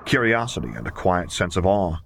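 Very low-voiced man narrating fiction. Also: sing-song, brisk, creaky, background noise. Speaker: audiobook reader.

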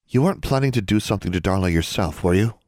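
A man's voice: low voice, animated, fast, a little mellow, no echo, studio quality. A man voicing a timid, anxious schoolgirl in an audiobook, worried and pleading. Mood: uneasy.

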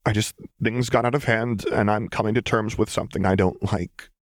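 A man voicing a distraught, lovestruck young woman in an audiobook story, hoarse and halting with emotion. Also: fairly low pitch, steady tone, no room sound, clean studio sound, voice pushed up, lightly acted. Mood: apologetic. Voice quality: hoarse, breathy.

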